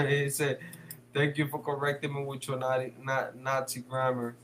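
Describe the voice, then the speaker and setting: A man's voice: medium pitch, flat delivery, a little slow, slight background noise. A man hosting a live stream, casually reading chat comments, slangy and swearing, laughing often. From San Francisco Bay Area.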